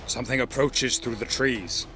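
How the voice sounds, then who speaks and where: A man's voice: medium pitch, steady tone, moderate pace, natural voice. Wary elven warrior, played by a man, over music. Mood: wary, concerned. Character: wary male alien elf warrior companion. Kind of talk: audio drama acting.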